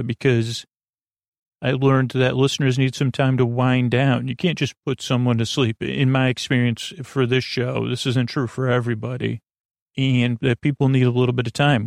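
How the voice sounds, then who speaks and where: A man's voice: medium pitch, a little mellow, no room sound, studio quality. A man hosting a sleep podcast, meandering through tangents with lots of filler words and half-finished sentences. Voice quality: creaky. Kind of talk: rambling bedtime talk.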